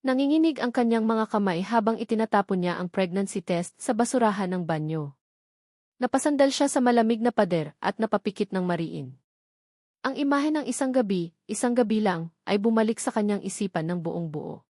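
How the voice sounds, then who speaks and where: A woman reading a scripted romantic story in a measured, dramatic way. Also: medium pitch, animated, darkish tone, no room sound, studio quality. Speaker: narrator.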